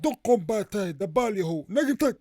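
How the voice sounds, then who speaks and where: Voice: medium pitch, very animated, a little fast, darkish tone, no echo, studio quality. A performer voicing a scolding, teasing puppet with a Somali accent, emphatic, in a comedy puppet show.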